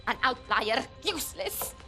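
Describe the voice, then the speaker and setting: A woman's voice: high voice, animated, a little slow, gravelly, bright, forceful tone, soft background music, exaggerated voice. A woman playing a sleepless, scornful, fatalist scientist in a video game, lecturing precisely and with a volatile temper. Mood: exasperated, contemptuous. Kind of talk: dramatic video game acting.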